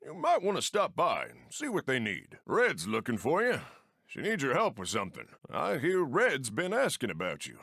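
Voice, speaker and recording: An actor playing a friendly Old West steam train engineer in a video game, with an American accent and folksy frontier phrasing. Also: male voice, mid-range voice, wildly swooping pitch, normal speed, vocal fry, sharp tone, no echo, studio quality, heavily acted. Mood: helpful. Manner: folksy, colloquial drawl of the frontier. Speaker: mission-giver game character. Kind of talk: animated video game voice acting.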